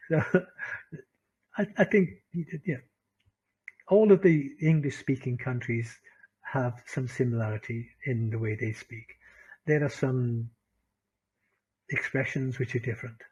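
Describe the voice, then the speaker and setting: A man with a Welsh accent teaching an online English class, animated, laughing often and repeating phrases for emphasis. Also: mid-range voice, slow, pauses often, slightly rough, dull audio. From Wales.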